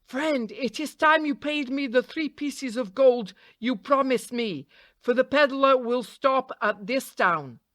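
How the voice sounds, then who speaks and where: A woman's voice: high voice, takes it slowly, fairly bright tone, no echo, clean studio sound, pitched above the performer's own voice. A woman voicing a crafty, bossy raven in a storybook, bargaining in an emphatic manner. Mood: haggling.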